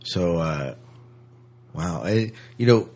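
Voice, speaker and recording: A man's voice: low-pitched, animated, takes it slowly, darkish tone. A man co-hosting a podcast in casual conversation, thinking aloud and posing questions. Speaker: podcast co-host. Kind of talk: casual chat discussion.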